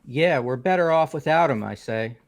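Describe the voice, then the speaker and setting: A man's voice: mid-range voice, expressive, normal speed, vocal fry. A man voicing a ranting, conspiracy-minded young man in a fan-voiced game, theatrical and indignant. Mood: grudging. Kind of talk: fan voice-over of a visual novel game.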